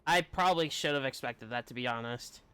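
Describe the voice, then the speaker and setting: A man's voice: mid-range voice, brisk, bright, forceful tone, clean tone, no echo. A man giving casual live commentary as he streams a game playthrough.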